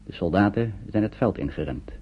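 A man narrating a radio drama in a measured, solemn storytelling manner. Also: lowish voice, expressive, a little hiss or hum. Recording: tinny, narrow-band old radio recording.

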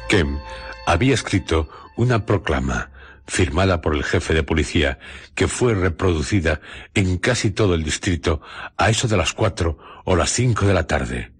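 Castilian guy narrator, super low voice, solemn radio drama storytelling, bit of music under it. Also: animated, normal speed, husky. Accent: Castilian Spanish.

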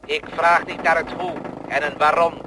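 An actor playing a cold, boastful thinking machine that scorns humans, in solemn declamatory radio play style. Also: male voice, a little high, little pitch movement, normal speed, background noise, close to an everyday voice. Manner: solemn, pompous, rhetorical.

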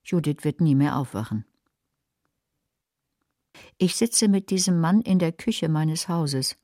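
An actress playing a scheming, bitter, watchful older woman who narrates a crime radio play in a measured, controlled, literary way. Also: low voice, mellow, dry recording, clean studio sound. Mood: grave, bitter.

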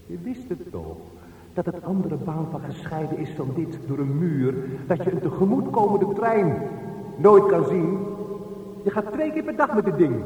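An actor playing a neurotic, self-berating train commuter in a radio drama, muttering intensely to himself in fragments. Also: male voice, high-pitched, expressive, normal speed, phone-quality sound. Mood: self-scolding. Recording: distant echoey reverberant hall, heavy noise at times.

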